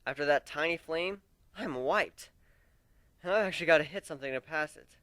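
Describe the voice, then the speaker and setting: A man narrating a dramatic first-person story in an expressive, conversational way. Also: fairly high pitch, brisk, no echo.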